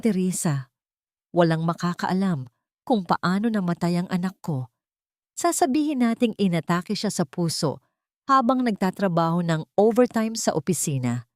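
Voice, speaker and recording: A woman's voice: medium pitch, a little mellow, dry recording, studio quality, close to an everyday voice. A woman voicing a cold, menacing elderly rich mother-in-law in an audio drama story, scornful and commanding. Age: older.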